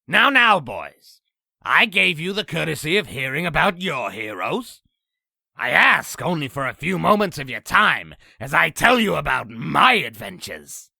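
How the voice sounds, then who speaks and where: A man playing a sinister, boastful old demon in a comic dub, telling tales in a raspy, gravelly, theatrical voice. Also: a little high, sing-song, measured pace, sharp tone, no echo, full character voice. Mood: mock courteous. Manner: theatrical, grandiose storytelling. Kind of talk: comic dub villain acting.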